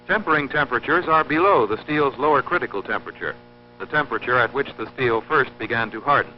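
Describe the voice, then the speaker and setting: An old, muffled film soundtrack with a faint mains hum carries a man's voice. He narrates industrial instruction from a script in a formal, precise way. His pitch sits in the middle range, and his delivery stays measured and didactic.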